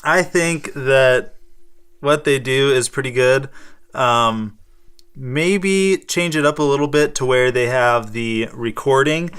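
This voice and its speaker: A man chatting casually and playfully as co-host of a fan show, halting as he thinks aloud. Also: medium pitch, fairly bright tone, clear, resonant tone. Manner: playful, improvised sock-puppet banter. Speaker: fifth and sixth grade teacher. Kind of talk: casual chat.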